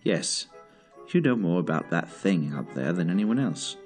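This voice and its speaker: An actor playing a pompous, commanding director in an audio drama, with a formal, haughty and clipped way of speaking. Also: male voice, low-pitched, animated, a little slow, a little mellow, faint music behind. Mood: dry, measured. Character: pompous, commanding male director, clever and dryly imperious. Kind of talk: scripted audio drama acting.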